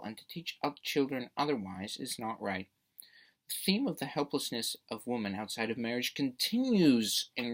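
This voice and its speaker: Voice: mid-range voice, a bit bright. A debate speaker delivering a prepared persuasive speech in a formal, emphatic, rhetorical manner.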